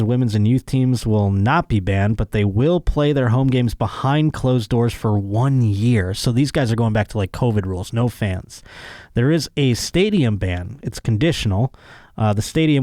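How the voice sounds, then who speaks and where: An American man hosts a comedy show, moving between reading news copy and casual sardonic riffing. His pitch sits in the middle for a man, and he swears freely. The recording is dry and close, with very little room sound. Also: mellow, no room sound. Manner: sardonic, profane. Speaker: podcast host.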